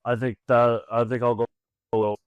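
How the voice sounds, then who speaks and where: A man's voice: mid-range voice, level tone, unhurried, clear, resonant tone, no echo, narrow, tinny audio. A man co-hosting a podcast, chatting casually in an easygoing, plain-spoken way. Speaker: podcast co-host. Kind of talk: casual panel chat.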